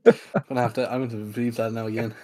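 A man's voice: mid-range voice, level tone, fast, mellow. A man chatting casually with friends as a co-host on a podcast.

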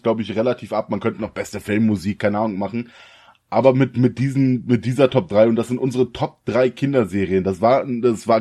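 A young man from the co-hosting a casual podcast, slangy and relaxed, often laughing. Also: medium pitch. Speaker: podcast co-host. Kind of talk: casual chat.

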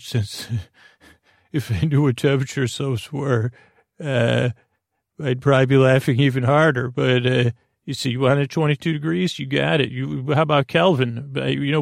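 A man's voice: mid-range voice, gravelly, no room sound, studio quality. A man hosting a silly bedtime podcast in a rambling monologue, hesitant and often trailing off mid-thought. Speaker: podcast host.

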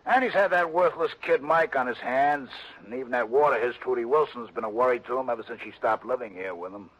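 An actor playing a cocksure, dismissive police sergeant with a blunt American delivery, in early sixties radio drama style. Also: male voice, mid-range voice, fast talker, a bit bright, close to an everyday voice. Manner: blunt, brusque. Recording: muffled vintage radio recording.

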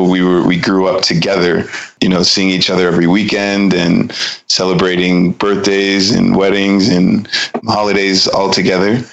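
Very deep, flat-voiced man. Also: creaky, poor audio. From United States. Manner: measured, unhurried, with frequent fillers. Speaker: interview guest, designer. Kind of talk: casual interview conversation.

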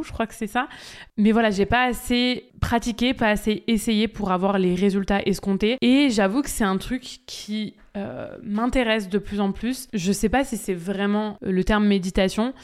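A woman's voice: medium pitch, a little mellow, clean tone. A young woman hosting a casual solo podcast, giving direct, chatty answers full of fillers as a business coach. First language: French. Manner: chatty, direct, many fillers, talks straight to listeners. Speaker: podcast host, social media business coach.

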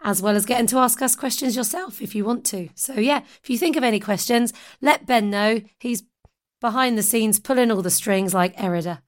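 A woman co-hosting a tabletop game podcast, chatting casually and laughing easily, her voice a little croaky today. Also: a little high, a little fast. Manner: giggly, playful. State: slightly croaky voice. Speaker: podcast co-host.

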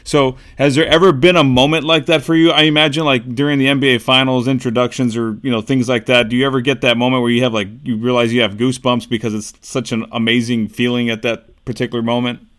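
A man's voice: medium pitch, little pitch movement, quick pace, few pauses, fairly bright tone. A man co-hosting a sports podcast, asking conversational, rambling questions in a casual, attentive way. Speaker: podcast interviewer, co-host. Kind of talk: casual sports interview chat.

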